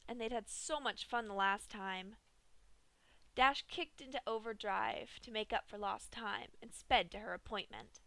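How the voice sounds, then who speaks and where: Bright-voiced woman reading a story. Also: medium pitch, unhurried, no room sound. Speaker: narrator. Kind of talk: reading fan fiction aloud.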